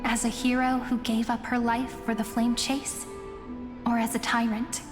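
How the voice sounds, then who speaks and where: A reflective chronicler voiced by an actress narrates in a fairly high feminine voice. She tells her memories earnestly, in literary phrases, over music with a long reverb. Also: little pitch movement, normal speed, natural voice. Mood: wistful, reflective. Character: reflective female chronicler recalling her ruler's life. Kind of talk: scripted game narration.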